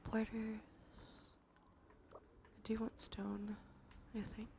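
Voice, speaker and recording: A woman's voice: on the high side, completely flat delivery, very slow, vocal fry, darkish tone. A woman livestreamer giving whispered ASMR commentary on a game, murmuring as she thinks aloud. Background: music.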